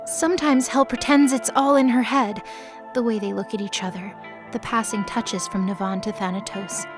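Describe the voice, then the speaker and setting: High-pitched woman narrating mythology drama. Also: fairly even intonation, normal speed, music in the background.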